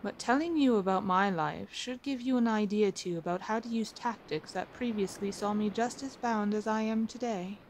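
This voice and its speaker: A woman's voice: mid-range voice, moderate pace, few pauses, slight background noise, close to an everyday voice, rough recording. A woman playing an assertive, wry captive pirate admiral in an ASMR roleplay, with a formal, theatrical, teasing delivery. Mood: cool, bargaining.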